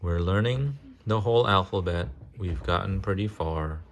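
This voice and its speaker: A man reading a children's picture book aloud in a casual way, pausing to chat, ask questions and explain. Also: low voice, a little hiss or hum. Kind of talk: casual read-aloud with chatty asides.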